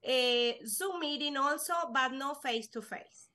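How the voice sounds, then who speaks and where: High, bright Spanish-speaking woman. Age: young adult. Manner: earnest, halting non-native English with fillers. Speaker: guest, life insurance agent. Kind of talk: casual interview chat.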